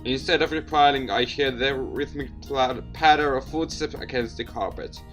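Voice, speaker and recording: A game streamer with a mid-pitched voice reading a story game aloud expressively, with asides and the odd stumble over words. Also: moderate pace, fairly bright tone, faint music behind. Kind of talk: let's play narration with reactions and asides.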